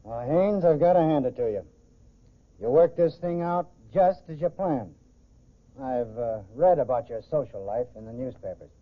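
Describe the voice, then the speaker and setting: An actor playing a skeptical, slangy American crook's sidekick in a 1940s radio crime drama. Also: male voice, mid-range voice, expressive, normal speed, close to an everyday voice. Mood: agreeable. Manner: slangy, doubting, informal. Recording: muffled, phone-like 1940s radio recording.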